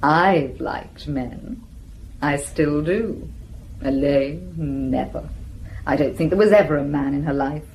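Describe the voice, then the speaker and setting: A woman's voice: low voice, slow, a little mellow, slight background noise, poor audio. An actress playing a forthright, worldly woman in a radio drama, blunt, wry and self-possessed. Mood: candid, dry.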